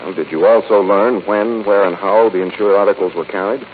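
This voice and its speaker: An actor playing a commanding, determined detective with a secret identity in a 1940s radio drama, with a deep voice. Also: male voice, brisk, close to an everyday voice. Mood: probing, businesslike. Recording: muffled 1940s radio broadcast with hiss.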